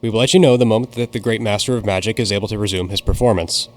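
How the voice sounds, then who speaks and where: A man's voice: lowish voice, steady tone, racing, natural voice. A man playing a formal theater announcer making a stage announcement in an audio drama. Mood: formal, apologetic.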